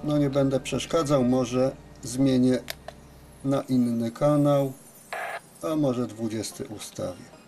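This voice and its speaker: A man gives an unscripted workshop demonstration as a repair technician, explaining step by step with hesitant pauses. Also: mid-range voice, steady tone, over music. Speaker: radio repair technician presenting his work. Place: radio service workshop, indoors at a bench.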